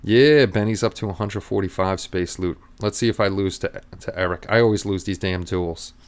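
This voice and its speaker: A man's voice: low voice, moderate pace, smooth. A middle-aged man hosting a casual live stream, rambling easily and chatting with his viewers.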